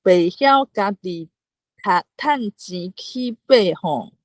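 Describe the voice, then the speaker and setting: A very slow, close and dry voice of a woman plays the haughty ruler of heaven. The delivery is measured and dismissive, in a Taiwanese accent, as in a staged folk tale. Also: mid-range voice, lively intonation, no room sound.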